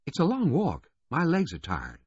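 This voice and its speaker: Man voicing a high-pitched sulky teenage rich girl. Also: wildly swooping pitch, normal speed, a little mellow, breathy edge, no echo, lo-fi sound, heavily acted. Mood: questioning. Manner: disgruntled, blurting.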